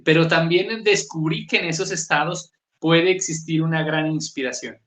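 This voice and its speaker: Colombian guy with a pasto accent, reflective and chatty, kinda high voice, echoey room. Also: normal speed, a bit bright. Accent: Colombian, Pasto region. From southern Colombia, Nariño. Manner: thoughtful, varied pitch, asks "¿sí?" to check in. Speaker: author, guest. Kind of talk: reflective conversational interview.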